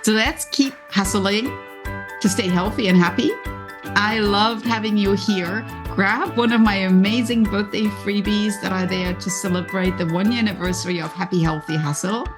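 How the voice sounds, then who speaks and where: A woman's voice: mid-range voice, normal speed, over music. A woman with a German accent hosting a solo motivational podcast, talking conversationally and encouragingly, often voicing inner thoughts. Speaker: podcast host and coach.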